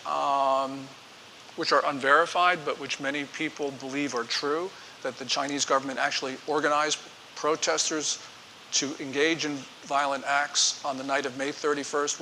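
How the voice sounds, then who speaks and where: Forceful man lecturing in a hall. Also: fairly high pitch. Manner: forceful, emphatic, editorializing. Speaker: guest speaker. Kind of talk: lecture with audience Q&A. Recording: reverberant hall with faint hiss.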